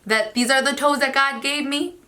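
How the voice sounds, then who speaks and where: A woman's voice: sits high, steady tone, normal speed, pushed and bright. A young woman, Spanish as her first language, chatting earnestly to camera about herself in a casual vlog, with frequent "like" fillers. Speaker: beauty vlogger.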